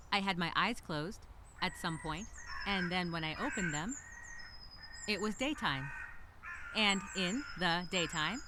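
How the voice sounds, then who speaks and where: A woman performs an anxious, wry female castaway narrating her survival journal. Her voice is bright and swings widely in pitch, with slow, halting, theatrical delivery. Faint bird calls and outdoor ambience sit behind her. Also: slight background noise. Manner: conversational, theatrical, halting, with sighs. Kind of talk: solo dramatic monologue, audio journal storytelling.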